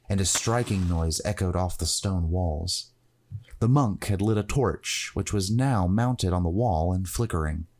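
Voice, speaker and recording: A man's voice: sits low, a little mellow. A man narrating a fantasy adventure story from a script, as storyteller.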